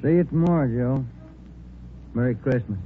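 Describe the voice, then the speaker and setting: A man's voice: medium pitch, normal speed, slight background noise, lightly acted. Casual American police partner played by a man, old radio. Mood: friendly, subdued. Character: easygoing male police partner, mild and curious. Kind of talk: 1940s radio drama acting. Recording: muffled old radio recording, music behind.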